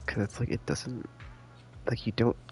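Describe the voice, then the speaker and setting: A man's voice: medium pitch, flat delivery, moderate pace. A man streaming a game live, chatting casually in a rambling, enthusiastic, slangy way. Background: game music.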